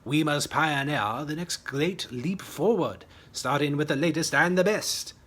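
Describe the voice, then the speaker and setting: A man voicing a jaunty, pompous, excitable WWI pilot in a storybook reading, with old-fashioned officer bluster. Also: a little high, a little slow. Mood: grandly enthused.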